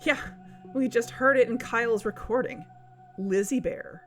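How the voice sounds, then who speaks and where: A woman's voice: fairly high pitch, unhurried, soft background music, close to an everyday voice. An actress playing a skeptical, anxious amateur investigator in a naturalistic fiction podcast, candid and conversational in her doubts.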